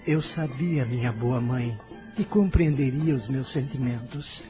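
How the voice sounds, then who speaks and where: A man's voice: mid-range voice, unhurried, warm tone, soft background music, natural voice. A man playing a devoted, idealistic adolescent son in a radio drama, speaking with tender emotion. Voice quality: trembling with emotion.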